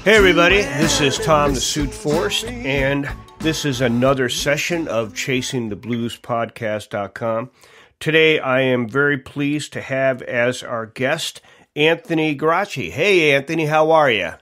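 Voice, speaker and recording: A man's voice: mid-range voice, measured pace, over music. A middle-aged man from Connecticut, hosting a podcast interview in a hesitant way with many fillers and restarts. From Connecticut, USA. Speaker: podcast host. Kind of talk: casual interview chat.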